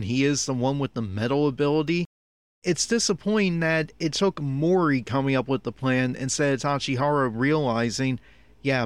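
A man's voice: mid-range voice, no echo. A man giving opinionated review commentary in a critical, nitpicky, exasperated manner. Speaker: podcast host giving episode commentary.

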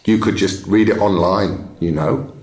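A man's voice: deep voice, normal speed, a little mellow, reverberant, natural voice. A man voicing an apologetic, kindly bookshop keeper with an English accent and a colloquial, slightly hesitant manner, in an audiobook. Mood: gently apologetic.

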